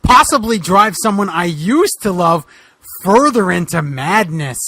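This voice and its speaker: Brooding boogeyman detective, played by a man: high, bright, melodramatic, exasperated, for a comic fan-fiction reading. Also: expressive, no echo, full character voice. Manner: loud, drawn-out, melodramatic delivery.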